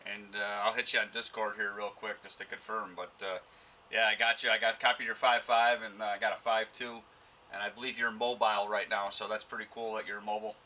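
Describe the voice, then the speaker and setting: A man working as an amateur radio operator, talking casually and haltingly in radio procedure words and callsigns. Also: fairly low pitch, little pitch movement, poor audio. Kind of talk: casual ham radio contact with on-camera commentary. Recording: loud radio static and noise. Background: radio receiver noise and static.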